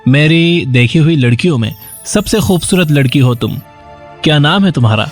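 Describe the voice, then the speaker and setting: A man's voice: on the high side, expressive, faint music behind, natural voice. A man voicing a young, sincere, romantic prince in a children's fairy tale cartoon.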